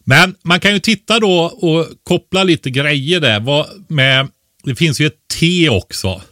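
A man's voice: fairly high pitch, lively intonation, sharp tone, dry recording, studio quality. A man talking casually and giving explanatory advice as an expert podcast co-host, often tagging a question onto the end of his points.

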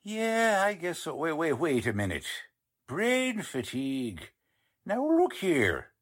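A folksy, boastful radio-play sidekick, acted by a man, slangy and bumbling. He drawls slowly, with pitch that bounces all around for comic effect. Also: wildly swooping pitch, slightly rough, exaggerated voice. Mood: grumbling. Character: folksy, ungrammatical, boastful sidekick of a lady reporter. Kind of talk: 1940s radio drama acting.

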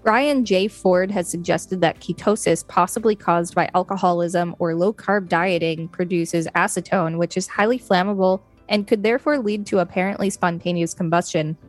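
A woman's voice: lowish voice, moderate pace, hardly any pauses, soft background music. A woman co-hosting a podcast, reading an article aloud and adding casual asides. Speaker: podcast co-host.

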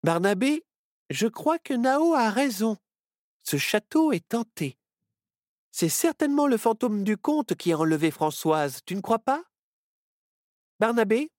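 A fearless young storybook girl with a high, bright, lively voice, brisk and self-assured. A man acts her out at an unhurried pace, close and dry. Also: dry recording. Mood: excited. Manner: confident, matter-of-fact. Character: bold, brisk young girl, calm and bossy. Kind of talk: storybook reading.

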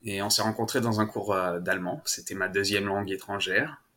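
Low-voiced french guy chatting, lots of euhs and restarts, laughs a bunch. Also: a little fast, sharp tone. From France. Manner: hesitant, many fillers and restarts, laughs often. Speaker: guest, French-speaking father. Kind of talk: casual interview chat.

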